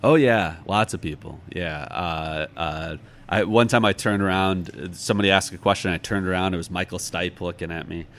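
An American man from Chicago talking casually as an interview guest, halting and thoughtful as he tells anecdotes and gives advice. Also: sits low, fairly bright tone. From Chicago, United States. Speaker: author and podcast guest.

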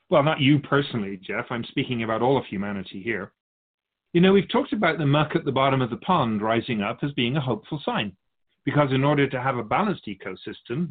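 A man playing a wise, wry otherworldly keeper of souls in a scripted dialogue, measured and teasing, fond of rhetorical questions. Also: medium pitch, breathy edge, narrow, tinny audio. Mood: wry, knowing.

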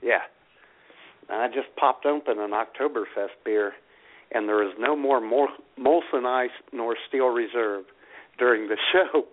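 Male radio co-host, folksy casual banter, mid-pitched voice, tinny telephone-quality sound. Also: a little slow. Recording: thin phone-like internet radio audio.

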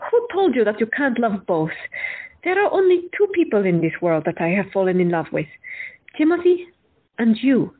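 A woman's voice: mid-range voice, big pitch swings, normal speed, husky. A woman playing a frank, teasing doctor in love, speaking candidly in an audio drama. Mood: earnest. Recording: tinny phone-like sound.

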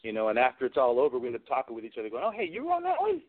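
A man's voice: medium pitch, huge pitch swings, very fast. A man's voice on a narrow, phone-like radio line, in an average male register. He talks as a guest, spinning informal, slangy anecdotes in a loose, rambling way. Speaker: guest, film special effects and prop maker. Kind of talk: casual anecdotal interview chat. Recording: phone-like narrow-band radio call-in audio.

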